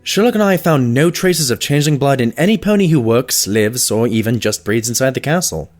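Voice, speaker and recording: A man's voice: medium pitch, expressive, brisk, faint music behind, natural voice. A man playing a sensible, long-suffering pony medic and sidekick in a cartoon audio drama, dry and exasperated. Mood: measured.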